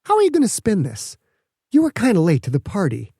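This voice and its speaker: Brash, defensive female scientist, played by a male narrator, raised pitch, snappish delivery, mellow tone, audiobook. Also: wildly swooping pitch, brisk, creaky, slightly rough, no echo, clean studio sound, heavily acted. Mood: accusing. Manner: curt, indignant, snapping.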